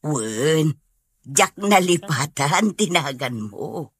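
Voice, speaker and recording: Kindly, devout Ilocano neighbour: slightly low, slow delivery, radio drama. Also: female voice, expressive, slightly rough, dry recording, studio quality, full character voice. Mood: friendly. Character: sympathetic, devout village mother and friend.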